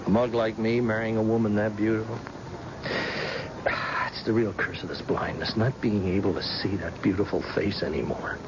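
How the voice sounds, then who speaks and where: A man's voice: fairly low pitch, steady tone, normal speed, lightly acted. An actor playing a proud, jovial blind former policeman in old-time radio drama, with a folksy, hesitant manner. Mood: wistful pride. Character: blind ex-police husband, proud, jovial, self-deprecating tough guy. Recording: dull, noisy old radio broadcast.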